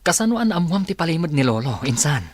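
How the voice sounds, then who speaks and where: A man's voice: a little high, big pitch swings, quick pace, background noise. A young man with an Ilocano accent playing a cheerful, kind grandson in a radio drama. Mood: warm. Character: Lester, cheerful grandson back home.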